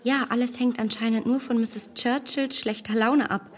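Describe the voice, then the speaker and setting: An actress playing a lively, self-assured young lady in a radio play, witty and teasing. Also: a little high, normal speed, natural voice. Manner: bright, teasing.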